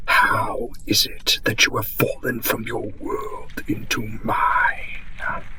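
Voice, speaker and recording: Man acting as a slow, whispering eldritch captor. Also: extremely high pitch, bright, forceful tone, heavily acted, rough recording. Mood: probing, commanding. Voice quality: breathy whisper. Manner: formal, archaic wording, slow and soothing. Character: eldritch masked auditor of an underground city, calm, possessive captor. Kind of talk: whispered fantasy audio roleplay, ASMR-like.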